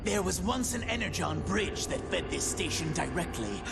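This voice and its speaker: Vain scheming robot villain from a game, high and raspy creaky, boastful, loud music behind. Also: male voice, expressive, moderate pace. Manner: boastful, theatrical. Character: vain scheming treacherous robot air commander. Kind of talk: video game cutscene acting.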